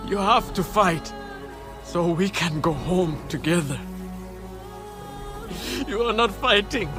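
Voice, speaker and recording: A devoted young alien hunter from a video game, his voice pitched high and his words unhurried. He talks in a formal, old-fashioned way, with a strong musical score playing behind him. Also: over music. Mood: grieving. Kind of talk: video game cutscene acting.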